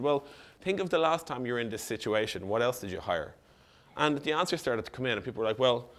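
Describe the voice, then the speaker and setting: A man presents informally to an audience. His slightly worn voice sits on the low side, and he talks very quickly. He is casual, dropping in asides and acting out bits of dialogue as he goes. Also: fairly bright tone. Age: 30s. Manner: casual, full of asides and quoted dialogue, mild swearing. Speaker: startup founder giving a conference talk. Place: on stage in an indoor event room. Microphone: lapel mic.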